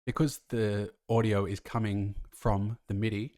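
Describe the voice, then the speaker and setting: A man's voice: lowish voice, level tone, a little slow, mellow, no room sound, studio quality. A man casually explaining music production as a tutor, talking through each step of a tutorial. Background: faint music from the track.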